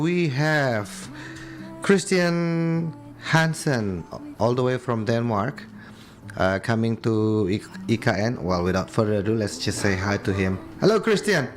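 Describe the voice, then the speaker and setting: A man with an Indonesian accent hosting a bilingual radio interview, friendly and hesitant, with many fillers and restarts. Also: mid-range voice. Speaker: radio host.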